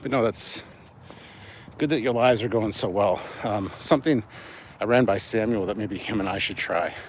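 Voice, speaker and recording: A man's voice: on the low side, normal speed. A man, a bit out of breath as he walks outdoors, chatting casually and informally with livestream viewers. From Canada. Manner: informal, swearing, reads out and answers comments. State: out of breath from walking. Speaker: livestream host. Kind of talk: casual rambling chat with live viewers. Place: outdoors, walking. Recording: noisy outdoor recording with vehicle sounds.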